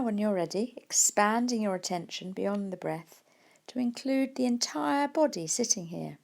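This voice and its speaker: A woman guides a mindfulness meditation as a teacher. Her mid-range voice has a noticeable creak to it. She speaks gently and without hurry, leaving soft pauses between phrases. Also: mid-range voice.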